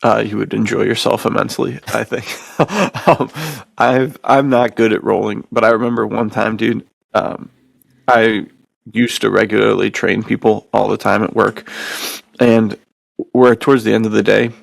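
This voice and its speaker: A man's voice: on the low side, fairly even intonation, moderate pace, gravelly, no echo. A man hosting a podcast, chatting casually in a laid-back, slangy way. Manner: laid-back slangy talk, often says dude. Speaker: podcast host.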